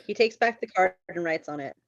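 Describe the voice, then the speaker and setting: A woman narrates screenplay action lines in a fairly low voice. The sound is close and free of room echo, with a slightly muffled top end. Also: brisk. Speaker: stage direction narrator. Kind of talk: scripted narration, screenplay read aloud.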